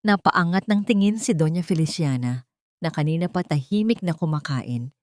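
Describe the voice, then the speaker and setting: A woman reading a scripted story in an expressive, melodramatic storytelling manner. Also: fairly low pitch, normal speed, no room sound, studio quality. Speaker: narrator. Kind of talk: dramatic scripted story narration.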